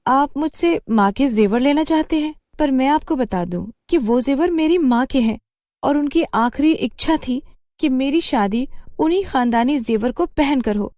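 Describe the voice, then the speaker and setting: An earnest young sister, played by a woman narrator speaks in a high, lifted voice. She sounds plaintive yet firm, in dramatized storybook style, with no room sound. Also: mellow, no echo, studio quality.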